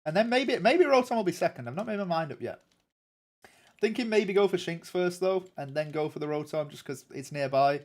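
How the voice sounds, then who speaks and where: A man's voice: high voice, lively intonation, fairly quick. A young man with a nasal voice, chatting casually with viewers on a game stream in a rambling way. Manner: rambling and chatty, many ums and restarts. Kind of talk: casual livestream chat answering viewers.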